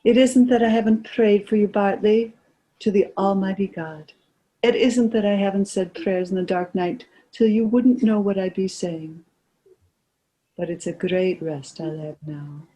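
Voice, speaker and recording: A woman's voice: mid-range voice, dark tone, lightly acted, low-quality recording. A woman playing a grieving, weary old mother in a lyrical, lamenting stage drama performance.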